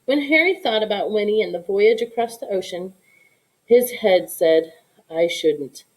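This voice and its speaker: A woman giving an expressive read-aloud narration of a children's story. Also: mid-range voice, normal speed, low-quality recording. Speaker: storybook reader.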